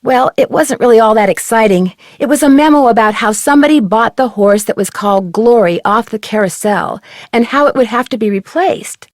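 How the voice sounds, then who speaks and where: A woman's voice: on the high side, a little fast, no echo, studio quality, natural voice. A woman voicing a young amateur detective in a mystery game, polite and level-headed, telling things in an articulate, composed way. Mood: chatty, explaining. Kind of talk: scripted mystery game voice acting.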